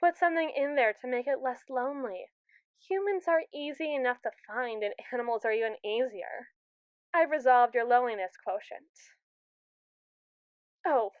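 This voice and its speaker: A woman's voice: fairly high pitch, clear, resonant tone, no room sound, muffled recording, lightly acted. A woman playing a haughty, impatient evil robot who scorns humans in an ASMR audio roleplay, condescending, sarcastic and theatrical. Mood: coolly analytical.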